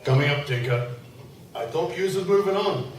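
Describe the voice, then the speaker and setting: A man's voice: a little high, animated, normal speed, a little hiss or hum, lightly acted. A man playing a friendly, plain-spoken village innkeeper in a live radio play. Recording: echoey hall from far off.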